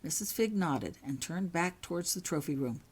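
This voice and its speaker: A woman's voice: on the low side, lively intonation, normal speed, slightly rough. A woman narrating a scripted story aloud in an audiobook-like reading. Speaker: author reading own fan fiction aloud.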